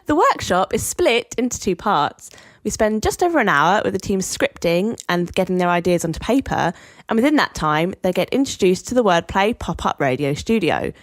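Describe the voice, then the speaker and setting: A woman's voice: mid-range voice, very animated, moderate pace, no echo. A woman co-hosting a podcast in a scripted presenting style. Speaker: podcast co-host.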